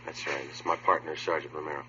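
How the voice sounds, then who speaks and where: An actor playing a terse, polite police detective sergeant with a deadpan, clipped delivery in an old radio drama. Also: male voice, very deep voice, fast talker, full character voice. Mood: polite, businesslike. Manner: deadpan, clipped, matter-of-fact. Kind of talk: 1950s radio police drama narration and dialogue. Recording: dull, noisy old radio recording.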